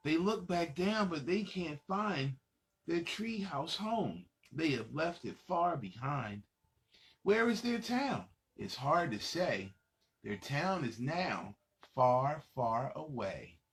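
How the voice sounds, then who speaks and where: A man's voice: fairly high pitch, sing-song, slow pace. A man reading a children's storybook aloud as host, in a playful, dramatic manner, speaking to young listeners.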